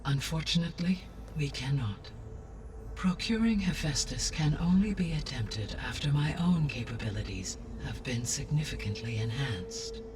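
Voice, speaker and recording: An actor playing a calm, grave artificial intelligence in a video game, speaking formally with precise, deliberate diction. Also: male voice, mid-range voice, recorded from a distance, slight background noise, close to an everyday voice. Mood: formal, instructive. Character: calm male artificial intelligence, grave, all-knowing custodian. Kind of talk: cinematic video game acting, exposition.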